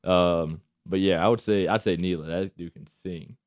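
A man with a deep voice, chatting casually as a football player guest and laughing often. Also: steady tone, normal speed, darkish tone, no echo, clean studio sound. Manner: laid-back, laughs often. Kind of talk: casual chat.

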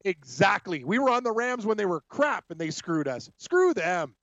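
A man's voice: high-pitched, big pitch swings, normal speed, fairly bright tone, no echo, highs cut off. A man co-hosting a sports talk show, giving strong opinions in casual chat. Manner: emphatic, opinionated.

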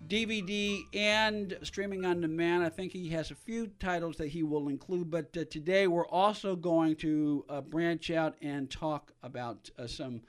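A man hosts a radio talk show in a slightly high-set voice. His delivery is conversational and measured, feeling its way through phrases with some hesitation. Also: soft background music.

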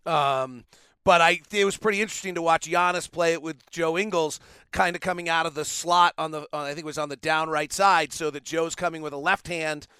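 A man hosting a sports podcast, talking basketball and reading sponsor spots in an animated, conversational way with rambling asides. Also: on the high side, a little fast, clear, resonant tone, dry recording. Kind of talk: casual basketball analysis and conversational ad reads.

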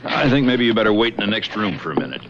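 An actor playing a gruff middle-aged police desk sergeant in a radio drama. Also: male voice, on the low side, sing-song, fast. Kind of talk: 1970s radio drama acting. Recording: dull lo-fi radio recording with hiss.

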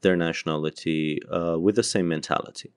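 A man's voice: sits low, fairly even intonation, brisk, clean tone. A man co-hosting a podcast, explaining things in a casual chat with dry, teasing humour. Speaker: podcast co-host explaining language.

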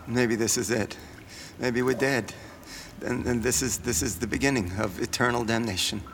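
An actor playing Jonah, an irritable, flustered prophet, in an audio drama. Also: male voice, mid-range voice, steady tone, normal speed, a bit bright, lightly acted. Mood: panicked. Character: exasperated, panicky man named Jonah, a prophet. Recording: long hall reverb, faint noise.

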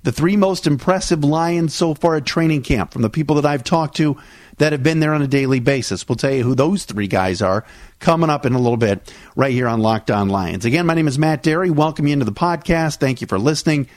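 A man's voice: a little high, a little fast, a little mellow, no room sound. A man, hosting a solo sports podcast in a chatty, conversational way, back on air after vocal cord surgery. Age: 40s. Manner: chatty, conversational, with frequent "uh" fillers. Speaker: podcast host.

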